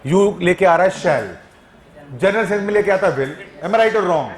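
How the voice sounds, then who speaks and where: Man, teacher, high pitch, playful, teasing, classroom echo. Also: lively intonation, measured pace. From Punjab. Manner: playful, teasing, quizzes students. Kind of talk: classroom grammar lecture. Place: classroom with students. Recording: echoey classroom.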